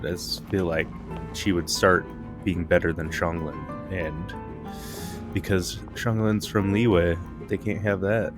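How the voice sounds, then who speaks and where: A man's voice: low voice, fairly even intonation, takes it slowly, music in the background. A man streaming a game, thinking aloud in casual, rambling commentary. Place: live stream with game audio behind.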